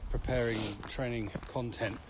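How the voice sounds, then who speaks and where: A man's voice: medium pitch, steady tone, normal speed. Man hosting a chat, noisy outdoors. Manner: prompting and summarising, conversational. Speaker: podcast host asking questions. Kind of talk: casual interview chat. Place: outdoors, walking. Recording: noisy outdoor walking recording. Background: outdoor ambience.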